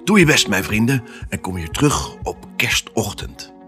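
A male actor voicing an excitable pet dog who tells his own Christmas adventure in an expressive, theatrical family audio drama style. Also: lowish voice, moderate pace, soft background music. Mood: rousing, commanding. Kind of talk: first-person storytelling in a family audio drama.

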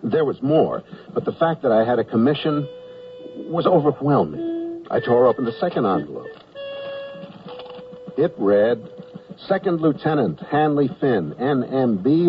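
A man's voice: medium pitch, natural voice. A man with an American accent playing an earnest army private who wryly narrates his wartime memoir in a radio comedy. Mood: awed, formal. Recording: muffled 1979 radio broadcast, music behind.

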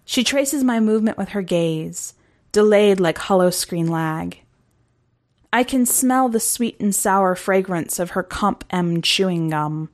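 Woman reading a short story, slow n measured, mid pitch, deliberate audiobook type narration. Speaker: podcast host and story reader.

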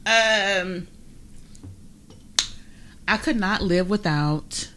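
A woman's voice: mid-range voice, very slow, background noise. A woman hosting a podcast in casual chat, with a playful, exclamatory manner and frequent laughter. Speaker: podcast host.

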